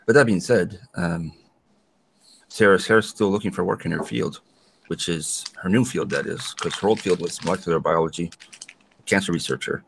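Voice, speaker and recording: A man's voice: sits low, normal speed, vocal fry, a little hiss or hum. An older man, a host of a weekly video hangout, chatting in a joking, playful way. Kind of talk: casual video call chat.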